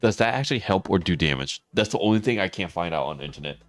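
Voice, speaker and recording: A hoarse man, chatting casually with viewers on a livestream and laughing often. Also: deep voice, lively intonation, fast talker, no room sound, faint music behind. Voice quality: raspy. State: hoarse, losing his voice. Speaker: streamer.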